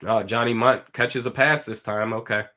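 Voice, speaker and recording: A man with a slightly raspy, hoarse voice, giving casual live commentary on a football game and reading out viewer comments. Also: on the low side, fairly even intonation, normal speed, pushed and bright. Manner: casual, talks to chat, reads comments aloud. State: hoarse from screaming. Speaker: watch party streamer.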